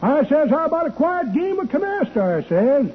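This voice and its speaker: An actor playing a cheerful old codger who spins tall tales in folksy, ungrammatical, rambling speech, in old radio comedy style. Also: male voice, extremely high pitch, very animated, moderate pace. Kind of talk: 1940s-50s radio comedy acting. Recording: tinny noisy old radio recording.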